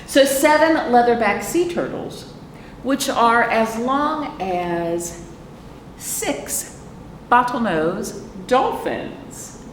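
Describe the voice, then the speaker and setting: A woman leads a children's storytime in a lively, swooping voice. She takes her time, exclaiming and asking the little listeners questions. The room is echoey and reverberant, with some noise behind her. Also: medium pitch. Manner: animated, exclaiming, asks young listeners questions. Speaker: children's librarian hosting storytime. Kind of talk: children's storytime read-aloud and chat.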